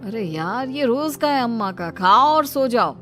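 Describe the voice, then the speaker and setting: A woman's voice: on the high side, animated, unhurried, a bit bright. A casual, practical son of about thirty in a cartoon family tale, acted by a woman. He reasons things out and brushes worries aside with mild exasperation, over faint music. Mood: dismissive. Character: practical, dismissive thirty-year-old son and new husband. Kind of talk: cartoon moral story acting.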